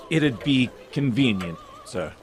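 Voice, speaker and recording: A man's voice: medium pitch, lively intonation, extremely slow. A man playing a meek, nervous clerk in an audio drama, hesitant and deferential to his employer. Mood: timid, deferential. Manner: hesitant, stammering, polite.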